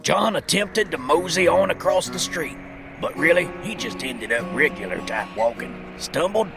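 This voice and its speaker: High, animated folksy western narrator played by a man. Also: normal speed. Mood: wry, folksy. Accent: American. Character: folksy, wry male western storyteller. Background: plucked guitar music.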